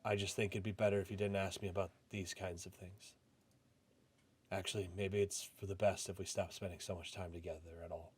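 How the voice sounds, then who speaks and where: A man voicing a reserved, brooding young office worker who narrates a visual novel in a subdued, measured way. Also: sits low, little pitch movement, a little mellow, husky. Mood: withdrawn, quiet. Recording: music playing behind the voice.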